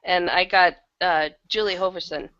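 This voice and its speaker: A woman's voice: on the low side, fairly even intonation, normal speed, fairly bright tone, dry recording, highs cut off. A woman chatting casually as an interview guest, talking in a loose, conversational way and sometimes correcting herself. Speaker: interview guest, audio drama producer and audiobook narrator.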